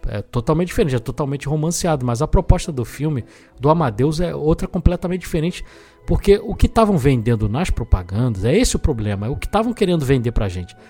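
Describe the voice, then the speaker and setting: A man's voice: a little high, big pitch swings, brisk, dark tone. Brazilian man discussing films over music. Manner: colloquial, opinionated, explanatory. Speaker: film podcast host. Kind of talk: casual film review discussion. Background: background music.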